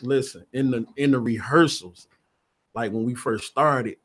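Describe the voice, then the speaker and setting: A man's voice: medium pitch, a little slow, a bit bright, slightly rough. An American man, a stage actor, chatting casually as an interview guest in a plain-spoken, colloquial way with emphatic repetition. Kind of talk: casual chat.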